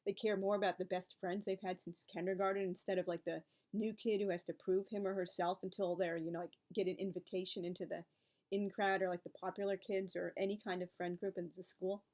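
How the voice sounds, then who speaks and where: A woman's voice: lowish voice, level tone, a little fast, a little mellow. Rambling, chatty woman in her forties. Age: 40s. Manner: chatty and rambling, piling up asides. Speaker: book and author video creator. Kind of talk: casual reflective vlog talk.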